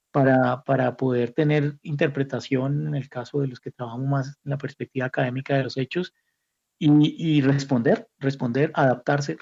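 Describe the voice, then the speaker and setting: A man's voice: mid-range voice, creaky, darkish tone. A Colombian man speaking as an academic guest in an interview, thinking aloud reflectively and often repeating words as he searches for the next one. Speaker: academic guest, institute director. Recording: slightly dull, muffled audio.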